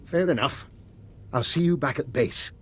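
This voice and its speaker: A man acting a scheming scientist doctor in a video game, polite, formal and evasive, with a husky voice. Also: medium pitch, normal speed, slight background noise, natural voice. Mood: coolly evasive. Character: smooth-talking, scheming male scientist doctor, coolly amused.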